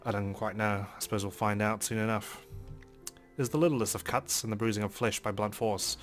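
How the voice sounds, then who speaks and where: Low-voiced man narrating a visual novel. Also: fairly even intonation, fairly quick, faint music behind. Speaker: let's play host. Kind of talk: dramatic read-aloud narration of a visual novel with commentary.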